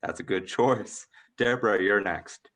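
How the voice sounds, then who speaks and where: A man with an American accent and a very low-pitched voice moderates a panel discussion. He speaks as the moderator, setting out topics and handing over to guests. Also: a little slow, a bit bright. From United States, Georgia.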